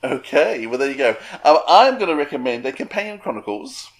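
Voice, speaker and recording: A man co-hosting a podcast, chatting casually in a halting way with restarts. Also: medium pitch, big pitch swings, low-quality recording. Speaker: podcast co-host. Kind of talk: casual chat.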